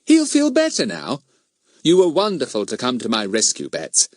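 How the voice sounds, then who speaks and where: A man's voice: mid-range voice, wildly swooping pitch, moderate pace, no echo, studio quality, voice pushed up. A man voicing a plucky, dignified schoolboy with an earnest, articulate manner, in a children's storybook. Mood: reassuring. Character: plucky, dignified, well-spoken schoolboy leader.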